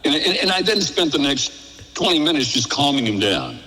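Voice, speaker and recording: An older man, an interview guest and former radio programmer, telling casual anecdotes in an animated way and acting out exchanges. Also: on the high side, normal speed, in a large hall, faint music behind.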